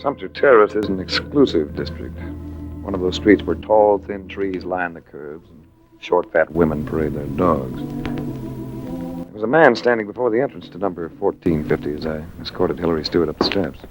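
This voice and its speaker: An actor playing a suave, wisecracking adventurer who narrates in a wry, urbane American way, in 1940s radio style. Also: male voice, low voice, moderate pace, natural voice. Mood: wry narration. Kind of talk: 1940s radio acting, hard-boiled narration. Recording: dull vintage radio recording with music.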